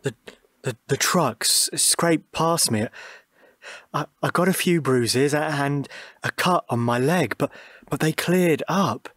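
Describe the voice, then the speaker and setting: An actor playing a gentle, anxious husband in a radio drama, speaking in a hesitant, halting way. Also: male voice, a little high, no room sound, studio quality. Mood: pleading, halting. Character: gentle, patient, anxious husband pleading with his partner.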